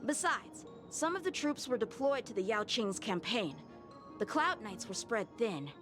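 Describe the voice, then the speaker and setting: A woman's voice: a little high, sing-song, a little slow. A woman voicing an impatient young companion who talks back defensively and sharply. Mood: explaining. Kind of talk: video game voice acting. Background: game music.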